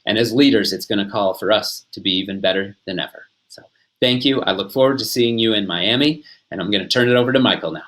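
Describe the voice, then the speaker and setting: Low-voiced man handing over. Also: fast, fairly bright tone, poor audio. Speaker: conference organizer introducing the speaker.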